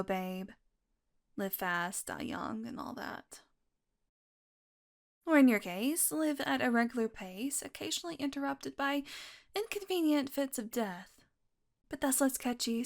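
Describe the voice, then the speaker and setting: Woman acting a wry, slow-talking female vampire. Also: on the high side. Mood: wry, resigned. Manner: sardonic, teasing, casual, swearing. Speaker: audio roleplay voice actress. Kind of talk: intimate audio roleplay acting.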